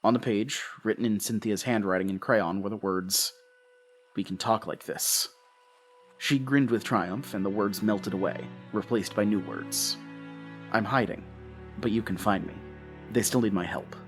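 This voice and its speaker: An actor playing a haunted, guilt-ridden husband and father, narrating a horror audio drama in a halting, anguished way. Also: lowish voice, faint music behind, lightly acted. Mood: dazed, subdued. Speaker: narrator and lead character.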